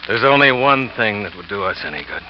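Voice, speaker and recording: An actor playing a smooth-talking, scheming salesman who narrates his own story in 1940s radio drama style, boastful and quietly cold. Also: on the low side, lively intonation, fairly quick, slight background noise, lightly acted. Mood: cold, calculating. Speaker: narrator and lead character. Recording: dull old radio recording with music.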